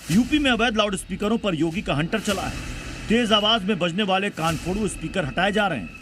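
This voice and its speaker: A man narrating a scripted TV news report in a dramatic style. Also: sits high. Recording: loud music bed behind voice. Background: background music.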